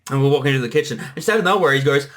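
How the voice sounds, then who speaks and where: A creator chatting casually through a commentary track, in an animated, rambling way. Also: mid-range voice, rapid-fire, a little hiss or hum. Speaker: creator giving commentary, voice actor. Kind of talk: casual commentary chat between two creators.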